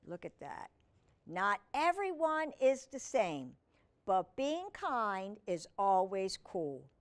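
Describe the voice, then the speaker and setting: A woman's voice: mid-range voice, takes it slowly. A woman reading a picture book aloud to young children, speaking expressively and putting questions to the listener. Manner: expressive, asks the listener questions, simple child-directed wording. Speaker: children's storyteller and humane educator.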